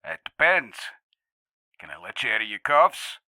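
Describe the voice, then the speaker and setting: An actor playing a chummy, teasing facility guard in an audio drama. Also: male voice, mid-range voice, very animated, normal speed, bright, forceful tone, no room sound, studio quality.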